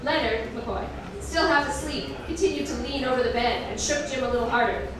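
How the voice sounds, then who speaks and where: A woman performing a comedic fan fiction reading on stage, nerdily enthusiastic, mixing mock-dramatic delivery with deadpan, self-deprecating asides. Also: high-pitched, brisk. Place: indoor live comedy reading event before an audience. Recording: roomy echo, distant mic, audience crowd noise. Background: audience laughter.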